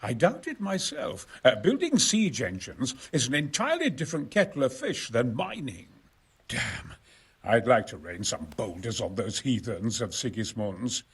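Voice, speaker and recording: An actor playing a genial, self-important exiled engineer in a video game, talking in a wry, hesitant, gentlemanly way. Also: male voice, medium pitch, very animated, normal speed, slightly rough, exaggerated voice. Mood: grumbling. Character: genial, erudite exiled male engineer, self-important about his opus. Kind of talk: video game cutscene acting.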